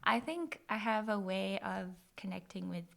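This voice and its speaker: Young woman from Uganda, heavy vocal fry, modest, casual chat. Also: mid-range voice, animated, normal speed. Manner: modest, soft-spoken, laughs a little. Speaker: guest.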